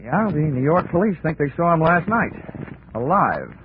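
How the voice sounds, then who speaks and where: An actor playing a wry, hard-boiled insurance investigator in a 1940s radio detective drama, delivering his lines deadpan. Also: a little high, moderate pace, noisy background. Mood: wry, cool. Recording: tinny old radio broadcast, music behind.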